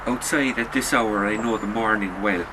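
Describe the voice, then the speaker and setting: Low-voiced man reading fiction over loud noise. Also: normal speed. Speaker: author reading own short story. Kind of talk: literary audiobook narration.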